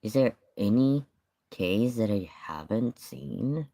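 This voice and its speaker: A man whose first language is Spanish, reacting to videos on a live stream, thinking aloud in a halting way and sometimes muttering. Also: medium pitch, creaky, dark tone, dry recording. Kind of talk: casual live-stream commentary.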